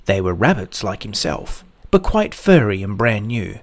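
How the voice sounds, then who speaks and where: A man's voice: fairly low pitch, very animated, unhurried. A man reading a scripted children's story as its narrator.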